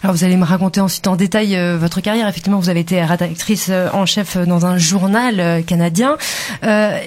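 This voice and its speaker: A woman's voice: lowish voice, steady tone, a little fast, darkish tone. A woman hosting a radio interview, asking her guest questions in a conversational way. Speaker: podcast host, interviewer.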